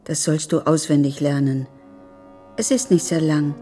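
A woman playing a calm, controlling, quietly menacing captor in a German radio drama, measured and unyielding. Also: deep voice, faint music behind.

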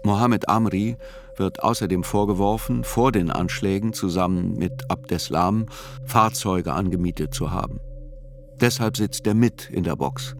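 Low-pitched radio play narrator, sober trial chronicler, measured, precise, soft music behind. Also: male voice. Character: sober male writer reporting from a terrorism trial.

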